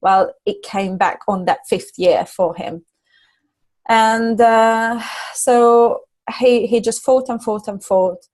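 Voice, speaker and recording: A middle-aged woman from Hungary with a Hungarian accent, talking earnestly as a guest business coach, halting and restarting often. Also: mid-range voice, a little mellow. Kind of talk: casual interview chat.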